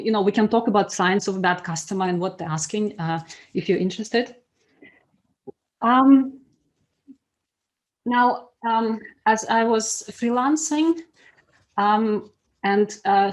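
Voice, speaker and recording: Woman w a foreign accent in english, midrange voice, kinda searching for words, chatting thru lessons on a video call at home. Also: mid-range voice. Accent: non-native English. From expat living in Belgium, grew up abroad. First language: not English. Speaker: speaker, event producer. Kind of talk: informal online talk sharing personal lessons. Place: small home room, indoors. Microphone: video call, computer mic.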